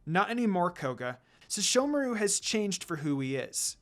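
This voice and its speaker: A reader plays a young woman in a fantasy story, outspoken and firm. Her delivery is kind underneath but easily exasperated, with a lively, changeable pitch. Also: moderate pace.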